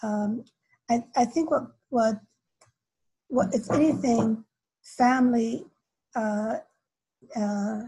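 An older woman scholar answering interview questions in a halting way, with many restarts and fillers. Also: on the high side, steady tone, dark tone, husky, low-quality recording. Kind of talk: academic interview.

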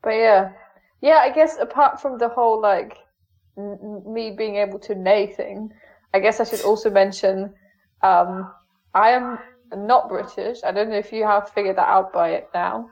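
A woman from Germany whose first language is German, chatting casually as a podcast guest, self-mocking and quick to laugh. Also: medium pitch. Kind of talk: casual chat. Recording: dull lo-fi sound.

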